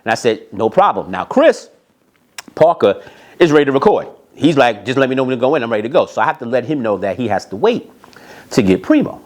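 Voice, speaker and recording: A man with a medium-pitched voice tells a story with very lively, swinging intonation. He is emphatic, repeats phrases to drive them home, and slips into reported dialogue as he goes. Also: fast talker. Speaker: storyteller, music industry executive. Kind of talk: animated anecdotal storytelling.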